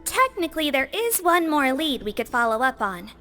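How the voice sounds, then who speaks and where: A woman's voice: sits high, sing-song, normal speed, bright, forceful tone, faint music behind, full character voice. An actress playing a small, precise and earnest female law officer in a video game. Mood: explanatory.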